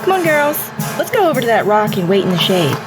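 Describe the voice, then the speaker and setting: A woman's voice: medium pitch, sing-song, fast talker, music in the background, close to an everyday voice, rough recording. A woman playing a teasing, caring wife and mother in an audio drama. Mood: practical.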